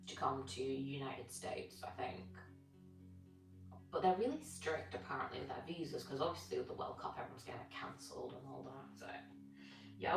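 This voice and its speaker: A British woman whose first tongue was Gujarati, chatting in a rambling, informal way with live viewers as a fitness streamer while stretching. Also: deep voice. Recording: roomy, distant mic with music behind. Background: music.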